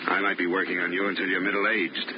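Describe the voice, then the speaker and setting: A man's voice: sits low, level tone, quick pace, natural voice. An actor playing a dogged male insurance investigator who narrates and questions people in a 1950s radio detective drama, dry and matter-of-fact. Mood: firm. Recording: noisy, dull old radio broadcast.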